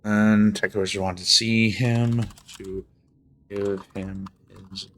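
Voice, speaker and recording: A man's voice: fairly low pitch, flat delivery, slow pace, background noise. A young man streaming, chatting casually and thinking aloud as he writes a story. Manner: thinking aloud, trailing off, muttering while typing. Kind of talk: casual livestream chat while writing a story. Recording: faint music in the background.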